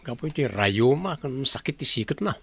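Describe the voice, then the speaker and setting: A man's voice: medium pitch, lively intonation, moderate pace, creaky, a bit bright, husky, dry recording, heavily acted. A man with an Ilocano Filipino accent playing a troubled countryman from a struggling family in a radio drama.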